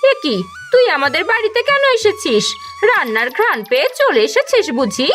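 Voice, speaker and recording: High cartoon village wife voiced by a woman, excitable, grudging, domineering, high-pitched delivery. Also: brisk, faint music behind, exaggerated voice. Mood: irritated. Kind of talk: cartoon dialogue.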